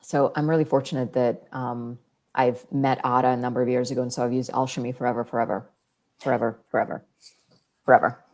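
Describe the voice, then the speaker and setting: A woman guest with a deep, calm, soothing voice, chatting in an easygoing way about her skincare routine. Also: quick pace. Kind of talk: casual chat about skincare.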